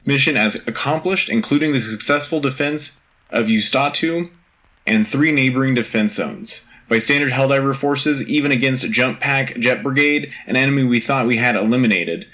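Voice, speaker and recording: Man playing a forceful wartime radio news anchor. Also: medium pitch, normal speed, natural voice. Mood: grave, resolute. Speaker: radio host. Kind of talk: scripted military news bulletin.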